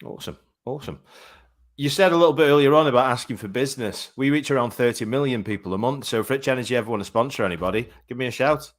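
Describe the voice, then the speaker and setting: A man's voice: mid-range voice, fast talker. A man hosting a live online chat show, chatty and effusive, calling his guests mate. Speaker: show host.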